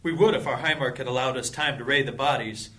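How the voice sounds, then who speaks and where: An actor playing a greedy, grumbling thief in a fantasy audio drama, griping quickly. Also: male voice, medium pitch, pushed and bright, close to an everyday voice. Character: greedy, grumbling male thief eager for loot.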